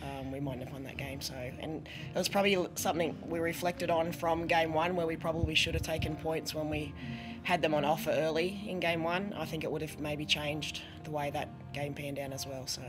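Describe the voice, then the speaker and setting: Australian woman, middle-aged coach, hoarse voice, earnest halting answers, desk mic at a press conference. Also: low voice, fairly even intonation, music in the background. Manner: earnest, halting with many ums, a little hoarse. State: losing her voice. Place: press conference room with sponsor backdrop. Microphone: desk microphone.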